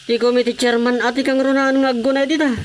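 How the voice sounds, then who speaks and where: A man's voice: very high-pitched, level tone, fast, a little hiss or hum. A man acting an ambitious, mocking village councilor with a dismissive manner in an Ilocano radio comedy drama, with an Ilocano Filipino accent.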